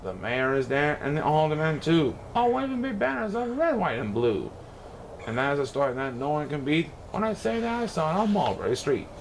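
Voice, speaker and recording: A man reading a rhyming children's storybook aloud in a theatrical, expressive way. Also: on the high side, fairly quick, slight background noise. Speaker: storybook reader.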